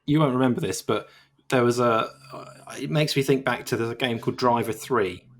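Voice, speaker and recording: A man's voice: mid-range voice, fairly quick. A man co-hosting a video game podcast, chatting casually and rambling with many restarts. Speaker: podcast co-host. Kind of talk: casual chat.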